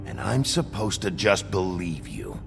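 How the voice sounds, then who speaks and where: An actor playing a gruff, brooding sheriff in a video game, terse, stern and blunt, with a gravelly voice. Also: male voice, low voice, big pitch swings, a little slow, full character voice. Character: gruff, brooding sheriff and interrogator of fairy-tale folk. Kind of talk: video game dramatic acting. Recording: loud music behind the voice.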